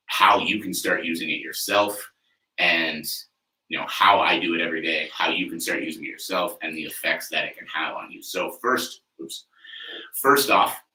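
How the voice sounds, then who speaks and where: Man in his thirties, low and bright, rambling casual podcast talk, a bit off-mic in a reverberant room. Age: 30s. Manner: conversational, loose, frequent "you know" and "like" fillers, occasional swearing. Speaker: athlete coach, podcast host. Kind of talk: casual solo podcast monologue. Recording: echoey room, mic at a distance.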